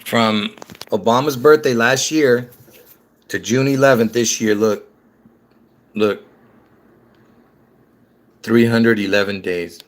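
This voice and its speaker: A man from Lebanon talks straight to his viewers in a loose, rambling monologue. His voice sits in an ordinary middle pitch. He stops and restarts his sentences often. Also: takes it slowly, pauses often. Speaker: conspiracy video creator. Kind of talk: rambling casual monologue to camera.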